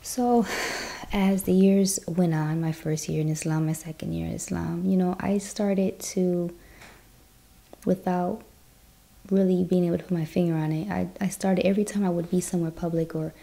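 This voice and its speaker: A woman's voice: fairly low pitch, fairly even intonation, moderate pace, creaky, warm tone. A woman from the US Midwest telling her personal story in a chatty vlog, with lots of "you know". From Chicago, US Midwest. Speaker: vlogger.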